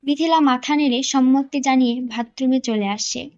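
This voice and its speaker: A woman's voice: high voice, normal speed, darkish tone, muffled recording. A woman reading a scripted romantic story as a dramatic narrator.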